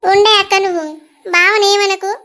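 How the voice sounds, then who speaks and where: A woman plays a devoted younger sister from a village family drama. Her voice is very high and bright, with a Telangana accent and an affectionate, pleading delivery. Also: flat delivery, moderate pace. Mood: protective, hospitable. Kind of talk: rural family drama acting.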